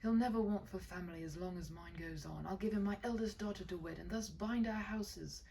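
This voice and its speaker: A woman's voice: medium pitch, fairly quick, gravelly, mellow, recorded from a distance, a little hiss or hum, close to an everyday voice. A woman voicing an older, battle-hardened duke in a story reading, impetuous and intense, with fervent, emphatic delivery. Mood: fervent pleading.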